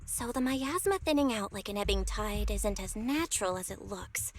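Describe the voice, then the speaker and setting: A woman's voice: medium pitch, animated, moderate pace, close to an everyday voice. A woman voicing an earnest, inquisitive young investigator in a video game, conversational and thoughtful.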